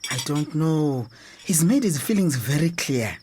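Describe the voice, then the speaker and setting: A woman's voice: sits low, expressive, measured pace, darkish tone, a little hiss or hum. A woman with a Zimbabwean accent playing a discouraged, uncertain transgender teacher in a radio drama.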